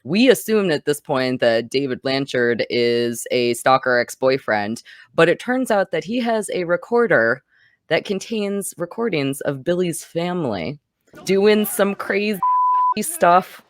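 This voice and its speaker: A woman's voice: low-pitched, expressive, unhurried, no room sound. Dry close-recorded woman hosting a film chat. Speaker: podcast host, film reviewer. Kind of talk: casual film discussion.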